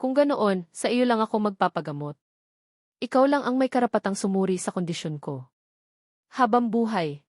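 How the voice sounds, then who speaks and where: A woman's voice: medium pitch, lively intonation, normal speed, pauses often, a little mellow, dry recording, clean studio sound, close to an everyday voice. A Filipino woman voicing a devoted, earnest man in love in a romance audio story. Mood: playful, tender. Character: devoted, earnest young male architect in love.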